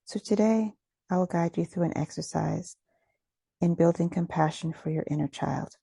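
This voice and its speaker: Low, soft-toned woman guiding meditation. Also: vocal fry, husky, no room sound. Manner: soothing, unhurried phrasing with pauses. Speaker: guided meditation host. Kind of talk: guided meditation, slow gentle instruction.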